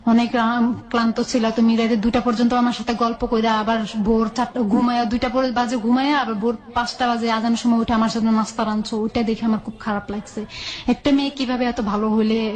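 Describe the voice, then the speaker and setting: A woman's voice: on the high side, a little mellow. A woman with a Bangladeshi accent telling her own life story in a colloquial, conversational way, often quoting dialogue back and forth.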